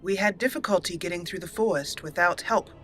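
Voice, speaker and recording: A woman's voice: fairly low pitch, fairly quick, a bit bright, faint music behind, lightly acted. A woman voicing her young female elf mage hero, speaking firmly and earnestly.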